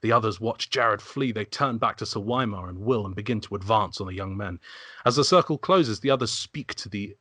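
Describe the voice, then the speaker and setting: A man's voice: fairly low pitch, a little fast, a bit bright, dry recording, clean studio sound. A man narrating stage directions from a script in an amateur table read.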